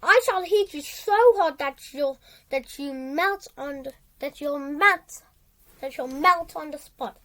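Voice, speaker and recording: A woman voicing a hungry, bad-tempered male warlock in a children's storybook, giving threatening, drawn-out commands. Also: high-pitched, bright, forceful tone, exaggerated voice. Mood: hissing threat. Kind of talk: storybook character voice.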